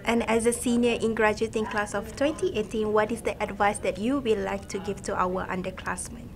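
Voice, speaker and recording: A teenage girl hosting a school interview segment, asking questions in a steady, scripted way. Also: medium pitch, brisk, crowd noise. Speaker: student interviewer.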